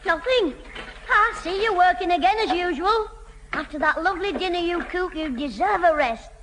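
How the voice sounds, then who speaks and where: A very high, piping schoolboy voice with a Northern English accent, cheeky and mock-innocent. It is old radio comedy, recorded in a reverberant hall with an audience and plenty of hiss. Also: moderate pace. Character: cheeky, scheming schoolboy with a smart answer for everything. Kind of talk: 1970 British radio sitcom acting.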